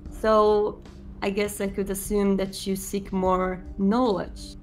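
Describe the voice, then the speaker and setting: A woman playing a suave male vampire prince in a tabletop game, speaking formally, politely and with measured authority. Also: medium pitch, little pitch movement, darkish tone, faint music behind, close to an everyday voice. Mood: calm, persuasive.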